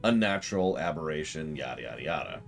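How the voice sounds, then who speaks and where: A man with a very low, animated voice talks through a game on a live stream. He explains things discursively, working out his thoughts as he speaks, with music playing underneath. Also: quick pace, vocal fry. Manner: discursive, explanatory, thinking aloud. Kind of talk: casual live stream commentary.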